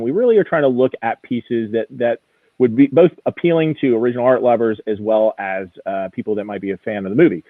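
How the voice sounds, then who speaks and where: Man, phone-like narrow sound, dry with no echo, casual explanatory talk, enthusiastic guest. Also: mid-range voice. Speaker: auction house executive guest.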